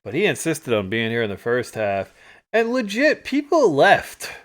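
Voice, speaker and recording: A man co-hosting a livestream, chatting casually through stories and photos from a trip, animated when he retells moments. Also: medium pitch, normal speed. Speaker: livestream co-host. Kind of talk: casual storytelling chat over travel photos.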